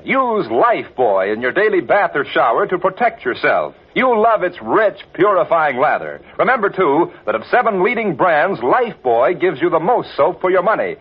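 A man's voice: high voice, big pitch swings, moderate pace, hardly any pauses, breathy edge. A man reading a scripted 1940s radio advertisement. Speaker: commercial announcer. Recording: dull vintage 1940s radio recording.

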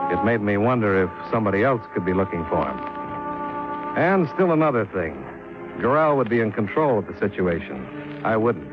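A hard-boiled private eye played by a male actor narrates in a low, dry, clipped voice. He sounds shrewd and wry, in 1950s radio drama style, on a muffled old recording with music behind. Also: close to an everyday voice.

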